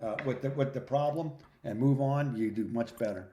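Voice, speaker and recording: A man's voice: mid-range voice, normal speed, mellow, reverberant, a little hiss or hum. A middle-aged man co-hosting a podcast over a recorded phone call, chatting casually in a reflective, plain-spoken, jokey way. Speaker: podcast co-host.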